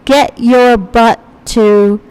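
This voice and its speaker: High-voiced woman interviewer, casual and blunt. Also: steady tone, extremely slow, a little mellow, clean tone, poor audio.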